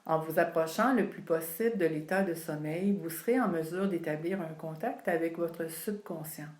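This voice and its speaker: A woman leading a guided yoga relaxation, speaking calmly and soothingly with long pauses between phrases. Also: low voice. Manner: calm, unhurried, soothing delivery with long pauses. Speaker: yoga nidra instructor. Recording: roomy, echoing sound.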